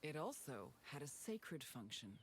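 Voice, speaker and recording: A woman reading scripted documentary narration for a history game tour, in a measured, informative way. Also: a bass voice, big pitch swings, husky.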